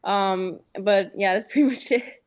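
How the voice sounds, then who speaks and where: A young woman, a guest on a video call, answering questions softly and laughing often. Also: medium pitch, measured pace, clear, resonant tone, muffled recording. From United States. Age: young adult. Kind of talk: casual interview over video call.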